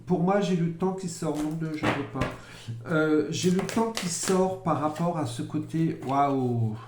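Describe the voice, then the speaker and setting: Slow, halting man reading cards. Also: fairly high pitch. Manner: slow, halting, many repeated words and fillers. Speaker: psychic card reader. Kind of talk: casual monthly tarot forecast. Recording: echoey room, faint noise.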